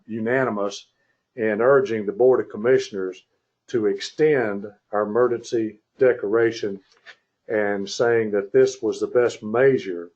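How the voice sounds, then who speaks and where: A man chairing a formal public meeting by conference call, speaking in a measured, hesitant, courteous way. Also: lowish voice, darkish tone. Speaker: county commissioner chairing the meeting. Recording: muffled conference call audio.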